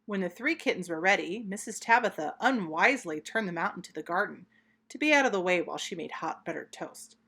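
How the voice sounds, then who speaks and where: A woman reading a children's story aloud, expressive, deliberate and emphatic. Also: fairly low pitch, creaky, slightly rough.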